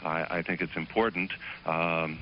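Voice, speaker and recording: Halting male teacher on phone line. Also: low-pitched, little pitch movement, slight background noise. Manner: halting, polite, many fillers. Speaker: teacher and call-in guest. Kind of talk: phone interview. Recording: muffled phone line on broadcast.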